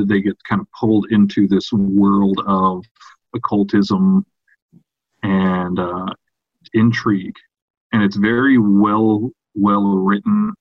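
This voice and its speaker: A man with a fairly low voice talks slowly and haltingly, often restarting his phrases. He sounds like a podcast co-host in casual discussion, recorded in a roomy space with a slightly dull sound. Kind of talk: casual discussion of horror fiction.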